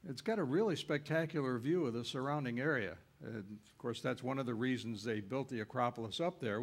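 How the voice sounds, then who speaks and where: A man giving an informal travel lecture on a cruise ship, folksy and rambling, with frequent uhs and self-corrections. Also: mid-range voice.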